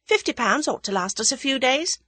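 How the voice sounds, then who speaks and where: A woman voicing a bold, impulsive young English woman in a dramatised audiobook, bright and emphatic. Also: sits high, animated, a little fast, dry recording, pitched above the performer's own voice, exaggerated voice. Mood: bossy, eager. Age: young adult.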